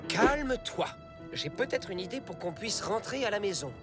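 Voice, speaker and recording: A self-satisfied fox leader gives orders in an emphatic, high cartoon voice. The delivery swings up and down boldly over loud music. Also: male voice, expressive, moderate pace. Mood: reassuring, scheming. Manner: emphatic, commanding, boastful. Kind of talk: animated children's cartoon acting.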